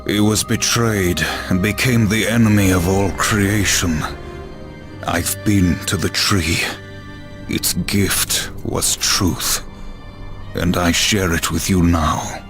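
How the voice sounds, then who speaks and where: A man playing a grim, vengeful apocalyptic horseman in a video game, speaking in a stern, deliberate, menacing manner. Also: deep voice, fairly even intonation, music in the background. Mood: grave, insistent. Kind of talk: dramatic video game cutscene acting.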